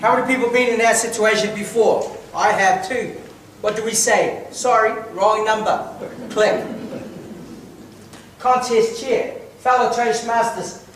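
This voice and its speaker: A man telling a funny personal story in a humorous speech contest, pausing for laughs. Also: high-pitched. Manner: telling a comic story to an audience with pauses for laughs. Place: large hall, public speaking contest. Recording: echoey hall from far off, noisy. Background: audience laughter.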